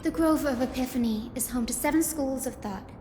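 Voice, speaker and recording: High-voiced, curious young scholar played by an actress. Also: female voice, brisk, natural voice. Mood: explanatory. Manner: articulate, expository, musing. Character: young female scholar, curious, thoughtful, knowledgeable assistant lecturer. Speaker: assistant lecturer at a scholarly academy. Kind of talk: video game character dialogue. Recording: echoey, long reverb, music behind. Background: music.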